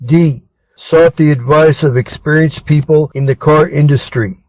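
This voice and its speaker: A man with an American accent reads scripted exam questions aloud in a measured, deliberate manner. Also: fairly high pitch, fairly even intonation, darkish tone, no room sound. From Arizona, United States. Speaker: reader of test material.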